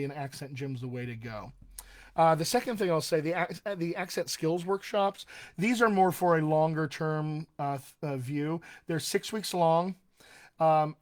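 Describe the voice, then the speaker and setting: A man, a dialect coach, talking casually in a live stream, friendly and chatty with frequent ums and uhs. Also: fairly high pitch. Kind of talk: live-streamed solo talk.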